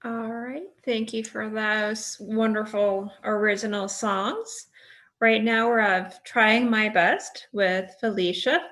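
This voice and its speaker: A woman acts as host of a community gathering. Her voice sits at a middle pitch as she makes informal introductions. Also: fairly even intonation, takes it slowly, fairly bright tone. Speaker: host of an assembly.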